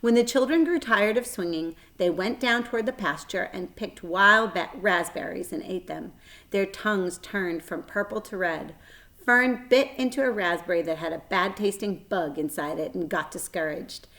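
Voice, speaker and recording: A woman reading a children's book aloud as a storyteller, expressive, with dramatic emphasis and shifting volume. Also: medium pitch, moderate pace.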